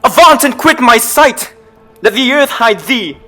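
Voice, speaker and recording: A man's voice: extremely high pitch, expressive, measured pace, sharp tone, soft background music, exaggerated voice. An actor playing a guilt-haunted, raving king in a dramatic reading of Shakespeare. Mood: terrified, raving.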